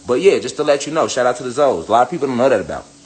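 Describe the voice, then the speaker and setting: A man's voice: medium pitch, very animated, rapid-fire, darkish tone, low-quality recording. A young man ranting casually to his viewers, preachy and profane, hammering his points with repetition. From United States. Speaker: spiritual commentator. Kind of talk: casual rant to camera.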